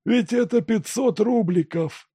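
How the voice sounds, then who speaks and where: Grasping street thief, high and gleeful; narrator plays him. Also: male voice, a little slow, warm tone, breathy edge, no echo, clean studio sound. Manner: eager, whispery glee. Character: greedy male street thief, gleeful about money.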